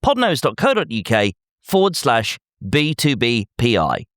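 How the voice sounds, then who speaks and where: Male podcast host, lively and swooping delivery, wry and emphatic, addressing the listener directly in a dry studio sound. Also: medium pitch, unhurried, no room sound. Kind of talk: scripted podcast commentary.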